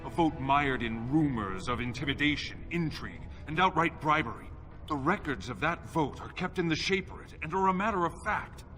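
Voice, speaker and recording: Earnest scholar, music behind him. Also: male voice, fairly high pitch, lively intonation, moderate pace, sharp tone. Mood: earnest, defiant. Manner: formal, earnest, precise. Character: earnest, principled male scholar historian, humble but stubborn. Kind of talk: fantasy game voice acting.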